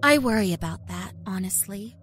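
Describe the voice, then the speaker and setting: A woman's voice: mid-range voice, lively intonation, moderate pace, fairly bright tone, faint music behind, natural voice. A woman voicing a sly young schoolgirl, a manipulative liar with an exaggerated, sugary coaxing manner, in an audio horror story. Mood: coaxing, confiding.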